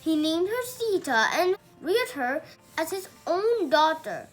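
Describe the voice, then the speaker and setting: High-pitched, animated child storyteller. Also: slow, faint music behind, poor audio. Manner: careful, emphatic reading with occasional stumbles. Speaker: young storyteller reading aloud. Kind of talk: read-aloud mythological storybook narration.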